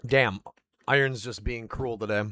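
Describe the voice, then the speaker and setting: A man's voice: mid-range voice, steady tone, measured pace, pushed and bright, no echo, studio quality. A man streaming casually who rambles and pokes fun at himself while reading roleplay aloud. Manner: rambling and self-deprecating.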